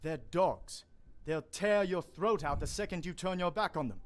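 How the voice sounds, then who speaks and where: A man's voice: fairly high pitch, normal speed, close to an everyday voice. A man playing a haughty vampire prince. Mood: scornful. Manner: haughty, imperious. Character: arrogant male vampire prince, proud and desperate. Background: game music.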